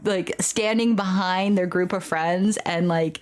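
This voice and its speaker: A woman's voice: on the low side, fairly even intonation, unhurried. A woman hosting a video game let's play, talking casually in a candid, slangy, informal way. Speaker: gaming video host. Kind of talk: casual let's play commentary with reading aloud of game dialogue. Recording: noticeable background noise.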